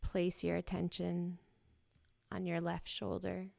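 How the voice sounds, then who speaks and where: A woman guiding a yoga nidra body scan in a gentle, soothing, unhurried manner with short, spaced phrases. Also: on the low side, completely flat delivery, creaky, dry recording. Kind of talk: guided meditation, slow body scan.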